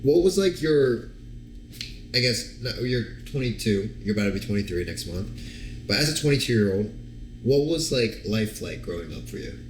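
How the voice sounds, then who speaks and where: A man's voice: lowish voice, expressive, normal speed, a little hiss or hum. A man hosting an interview, asking casual, filler-heavy questions to draw out his guest's story. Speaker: host, business coach. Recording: roomy echo.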